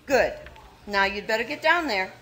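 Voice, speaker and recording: A woman's voice: mid-range voice, expressive, moderate pace, sharp tone, slight background noise. A woman playing a ruthless, scheming young woman who plots a murder, in 1940s radio acting style, cold and commanding. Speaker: radio play actor.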